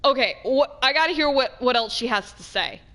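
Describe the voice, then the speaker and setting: Bright and high, a woman talks over faint game music as a let's play host. Her commentary is casual and excitable, often doubling up phrases for emphasis. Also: a little fast. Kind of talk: casual gameplay reaction commentary.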